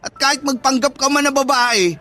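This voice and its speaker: Angry, high-pitched young Filipino man. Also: brisk, bright, forceful tone. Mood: scornful, disgusted. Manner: confrontational, scornful. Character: angry, betrayed young straight man rejecting his friend. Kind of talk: Tagalog radio drama acting.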